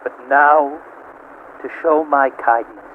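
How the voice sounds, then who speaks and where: A man playing a sadistic, hate-consumed supercomputer that mocks like a cruel god, in a theatrical, menacing monologue with drawn-out words, as an amateur voice acting reading. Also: fairly high pitch, steady tone, voice pushed down. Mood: hushed menace. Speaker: amateur voice actor. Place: at home. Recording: dull lo-fi home recording, faint noise.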